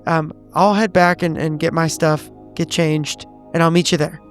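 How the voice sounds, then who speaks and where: A man playing a tabletop roleplaying game, improvising in-character talk with careful, measured wording. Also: on the high side, level tone, faint music behind.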